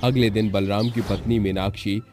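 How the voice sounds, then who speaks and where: A man's voice: lowish voice, fairly even intonation, normal speed, background noise. A man narrating a scripted horror story as a storyteller. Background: faint background music.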